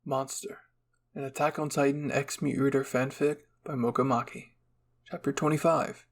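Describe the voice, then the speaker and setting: A man reading a first-person fan fiction story as soft, measured ASMR narration. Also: mid-range voice, little pitch movement.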